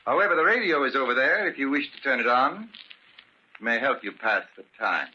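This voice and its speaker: A cold, domineering older patriarch. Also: male voice, medium pitch, lively intonation, fairly quick, bright, forceful tone, lo-fi sound. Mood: icily polite. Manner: formal, condescending, clipped politeness. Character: cold domineering cruel older father-in-law, polite menace. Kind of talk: vintage radio drama acting. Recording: crackly band-limited old radio recording.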